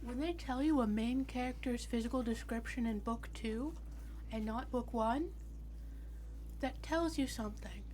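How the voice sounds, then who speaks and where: A woman player at a tabletop game, chatting casually and telling stories in an animated, quote-filled way. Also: on the high side, unhurried. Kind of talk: casual chat.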